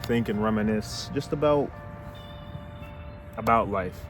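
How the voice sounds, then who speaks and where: A man's voice: fairly low pitch, takes it slowly, faint music behind. A man about to turn twenty-one, rambling and hesitant as he thinks aloud in a casual vlog, recorded outdoors on a camera mic. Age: 20s. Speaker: vlogger. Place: outdoors near a car with a city view.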